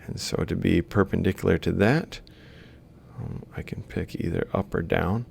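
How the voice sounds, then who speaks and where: A man's voice: moderate pace, creaky, a little mellow. A man giving an informal lecture as he works through an example, thinking aloud step by step and correcting himself. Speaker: robotics lecturer. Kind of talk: informal worked-example lecture, explaining while drawing. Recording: faint background noise with low rumble.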